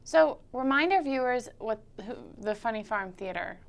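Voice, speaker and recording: Higher-voiced woman interviewing. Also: animated, normal speed, gravelly. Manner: short questions with attentive backchannels. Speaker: interviewer, host. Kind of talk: casual local TV interview.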